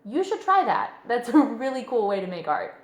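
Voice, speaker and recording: A woman in her thirties reading a children's story aloud, expressive, with dramatic pauses. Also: a little high. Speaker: storybook reader. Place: living room sofa for the introduction.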